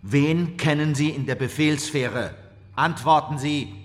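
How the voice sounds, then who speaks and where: An actor playing a stern, accusing government interrogator in a radio drama, hard, pressing and commanding. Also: male voice, on the high side, a little slow, faint music behind, natural voice. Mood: accusing, insistent. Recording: reverberant interrogation room.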